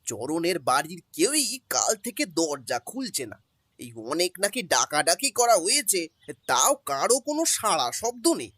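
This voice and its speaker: Brief: a man doing a husky, bright-voiced servant in a radio drama, breathless and pleading, dry close mic over faint music. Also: fairly high pitch, very animated, unhurried, dry recording, exaggerated voice. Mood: urgent. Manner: urgent, stammering, deferential. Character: flustered male household servant, breathless and anxious. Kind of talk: audio drama acting.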